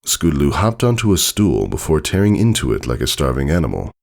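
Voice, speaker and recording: A man narrates fiction in a very low register. Fry runs through his voice, and the pitch moves widely. The delivery is dramatic, scripted storytelling. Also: big pitch swings, a little fast. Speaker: story reader.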